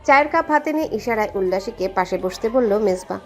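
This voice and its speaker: A woman's voice: mid-range voice, animated, brisk. A woman narrating a scripted story in a dramatic storytelling style. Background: background music.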